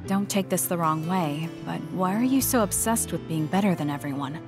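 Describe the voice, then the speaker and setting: An actress playing a composed, blunt young soldier in an anime video game, speaking earnestly and firmly. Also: female voice, fairly low pitch, moderate pace, close to an everyday voice. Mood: earnest, concerned. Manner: earnest, direct, firm. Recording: loud music under voice. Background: game soundtrack music.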